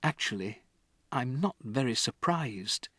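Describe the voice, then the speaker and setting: A narrator doing a gentle, calm schoolteacher. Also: medium pitch, takes it slowly, no room sound, studio quality, heavily acted. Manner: gentle and quiet. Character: kind, calm woman schoolteacher.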